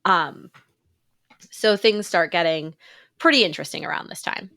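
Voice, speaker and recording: A woman in her thirties with a stuffy, congested voice chats through a podcast episode, full of fillers and easy laughs. Her voice sits a bit low, and it is recorded close and dry with no room sound. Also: normal speed, gravelly, sharp tone, no echo. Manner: chatty, laughs often, many fillers. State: congested with a cold. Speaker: podcast co-host. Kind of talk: casual podcast chat.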